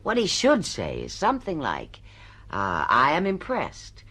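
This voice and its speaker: An actress playing a shrewd, domineering woman editor in a radio drama, with an emphatic, brisk, bossy manner. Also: sits low, huge pitch swings, a bit bright, breathy edge, exaggerated voice. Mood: bossy, instructing. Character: brash, domineering female book editor, shrewd and pushy.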